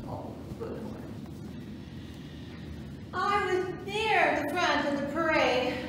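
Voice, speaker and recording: A woman playing a vain, glamorous suffragist horsewoman with a showy manner in an amateur theatrical reading. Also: high voice. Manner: showy, self-admiring. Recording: very echoey, distant, noisy room recording.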